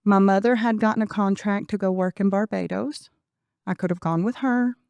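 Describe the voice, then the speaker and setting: A woman in her forties from Venezuela, a Spanish speaker with a Venezuelan accent, telling her life story as a podcast guest in an animated way. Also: mid-range voice, moderate pace, creaky, no echo. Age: 40s. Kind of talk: conversational interview.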